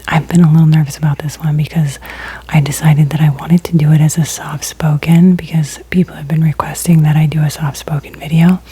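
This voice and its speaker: A woman, talking in soft, breathy whispers with many ums in a soft-spoken ASMR video. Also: low-pitched, poor audio. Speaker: ASMR creator.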